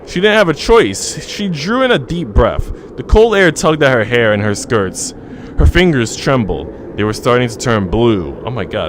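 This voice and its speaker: A man's voice: lowish voice, background noise. A man live streaming in a joking, teasing, slangy way, switching between casual chat and reading story narration aloud. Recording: background music under voice. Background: music.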